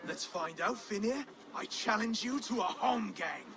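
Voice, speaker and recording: An actor playing a soft, earnest Saxon would-be king, genteel, hesitant and excitable, in a video game cutscene. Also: sits high, unhurried, heavily acted. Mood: earnest, nervous. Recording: game ambience, clear background noise.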